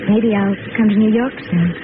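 A narrow vintage radio recording with clear noise holds an actress playing a young woman. She is tender and grateful, with a medium-pitched voice and 1940s dramatic delivery. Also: fairly even intonation, moderate pace, natural voice. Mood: tender, wistful. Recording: tinny noisy vintage radio.

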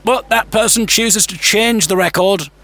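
A man hosting a podcast, narrating a scripted story with theatrical suspense, pauses and wry humour. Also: sits high. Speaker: podcast host. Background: music.

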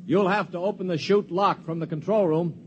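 A man's voice: high-pitched, fairly even intonation, fairly quick, lo-fi sound. A man narrating and playing the wry, commanding lead of a 1950s science fiction radio drama. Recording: noisy 1950s radio broadcast.

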